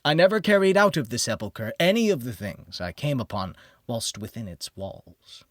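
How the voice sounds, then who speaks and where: A man narrating a gothic horror audiobook in a theatrical manner that moves between hushed near-whispers and heightened exclamation. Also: mid-range voice, lively intonation, normal speed, no room sound, studio quality. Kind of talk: dramatic scripted horror narration.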